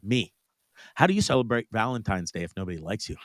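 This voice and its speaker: A man's voice: lowish voice, lively intonation, quick pace, dry recording. A Canadian man hosting a casual podcast, stuffed up with a sore throat, joking bluntly and swearing freely in slangy talk. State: sick with sore throat, congested. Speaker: podcast host.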